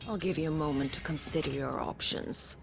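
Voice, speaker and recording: An actress playing a haughty enemy commander in a game cutscene, measured and condescending in her taunts. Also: low-pitched, creaky, over music. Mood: mocking. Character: haughty female enemy commander, cold and mocking.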